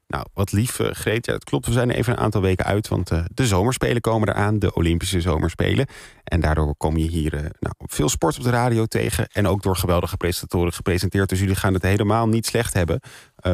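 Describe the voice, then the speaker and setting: A man's voice: on the low side, fast, gravelly, a little mellow, no echo, clean studio sound. A man with a Dutch accent hosting a live phone-in radio show, chatting and reading out listener messages. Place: radio studio.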